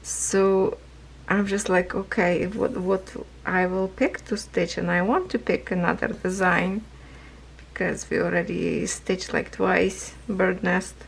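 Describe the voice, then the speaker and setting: Hoarse, slow-talking woman crafter. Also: on the low side, rough recording. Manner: rambling, non-native phrasing, laughs often. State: losing her voice. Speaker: craft vlogger. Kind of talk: casual chat while stitching.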